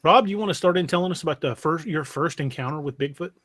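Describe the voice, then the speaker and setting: A man's voice: a little high, fast talker, a bit bright. A man casually hosting a livestream podcast in a folksy, chummy way. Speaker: podcast host.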